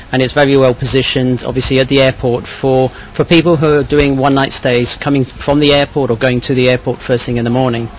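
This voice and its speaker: A man with a mid-range voice explains things in a measured way, as an interviewee. He sometimes repeats a phrase as he goes. Clear ambient noise sits behind him on the location recording. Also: mid-range voice, low-quality recording. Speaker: hotel owner and tourist police volunteer interviewee. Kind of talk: spoken interview account.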